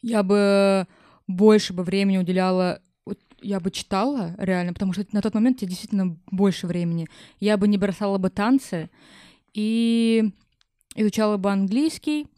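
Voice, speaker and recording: A young woman with a soft-edged, mellow voice at a medium pitch. She chats casually as a podcast guest, recorded very dry. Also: normal speed, dry recording. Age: young adult. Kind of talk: casual chat.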